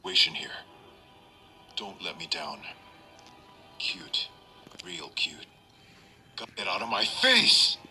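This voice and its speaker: A determined special operative from an action video game. His voice is very bright and tense, with hugely animated pitch and unhurried, terse delivery. He sounds tough and used to giving orders. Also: soft background music, heavily acted. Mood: urgent, shouting. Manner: terse, intense, commanding.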